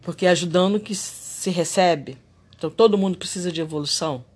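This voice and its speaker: Man, husky and a bit high, reflective solo podcast musing. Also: brisk. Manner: reflective and confessional, animated when irritated. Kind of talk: personal spiritual monologue.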